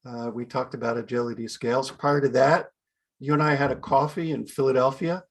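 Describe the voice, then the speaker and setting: A man's voice: medium pitch, fairly even intonation, fairly quick. A man hosting a podcast, chatty and friendly, asking short easy questions. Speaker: podcast host. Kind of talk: casual interview hosting.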